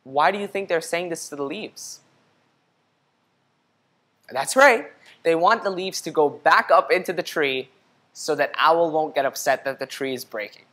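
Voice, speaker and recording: A man's voice: fairly high pitch, a little slow, long pauses, pushed and bright. A young man hosting a children's read-aloud, speaking directly to young listeners and putting questions to them. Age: young adult. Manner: clearly paced, addressing young listeners directly. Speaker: picture book reader for children. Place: indoor studio set. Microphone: lapel mic.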